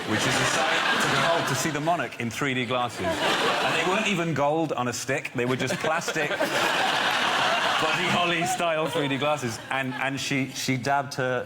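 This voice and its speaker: A man, a talk show guest, telling wry, self-deprecating anecdotes in a TV studio. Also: mid-range voice. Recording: noisy studio recording.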